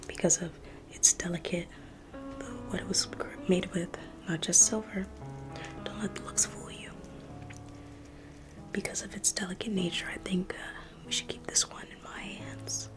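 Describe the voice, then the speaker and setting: A woman's voice: on the low side, steady tone, unhurried, long pauses, over music. A young woman whispering an ASMR roleplay as a museum guide, playful and teasing like a salesperson.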